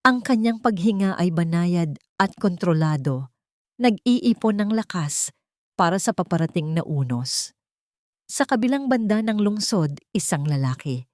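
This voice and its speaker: A woman's voice: fairly low pitch, a little mellow, no room sound, clean studio sound. A woman reading a dramatic scripted story as its narrator.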